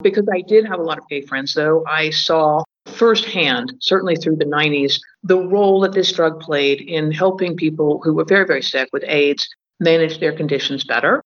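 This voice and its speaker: A woman's voice: sits low, moderate pace. A woman in her fifties with an American accent talks casually through a slightly dull, lo-fi line. She rambles and thinks aloud, padding her talk with fillers. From United States. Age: 50s. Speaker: guest, cannabis journalist.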